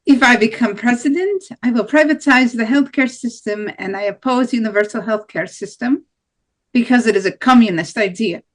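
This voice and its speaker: A woman's voice: on the high side, moderate pace, natural voice. A woman reading the part of a blunt, hardline right-wing presidential candidate in an amateur play table read, with plain declarative delivery. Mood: firm.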